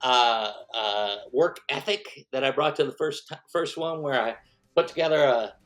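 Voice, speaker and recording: A man voice actor describing his preparation in a halting, thoughtful way during an interview. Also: medium pitch, a bit bright. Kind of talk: interview recollection.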